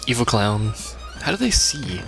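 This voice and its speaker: A man's voice: medium pitch, big pitch swings, moderate pace, gravelly. A man doing casual let's play commentary, full of wry, sarcastic asides. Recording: game music behind the voice.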